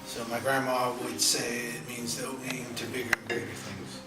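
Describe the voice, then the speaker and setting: Halting man recalling his family roots. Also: mid-range voice, monotone. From California. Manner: reflective, halting with many fillers. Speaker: poet and educator, interview guest. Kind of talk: casual interview answers. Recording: roomy echo, distant mic, music.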